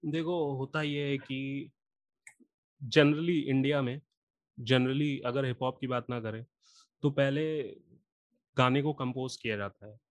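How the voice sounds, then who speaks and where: A singer and rapper explaining patiently, step by step, in a casual podcast chat, with a sore throat from a cold. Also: male voice, medium pitch, fairly even intonation, slow pace, pauses often, no echo.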